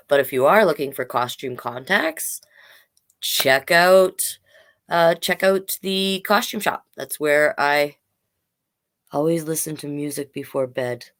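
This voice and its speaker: Storytime host: woman, low voice, informal and rambling, mixing picture-book reading with loose chat. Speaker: drag king storytime host and reader.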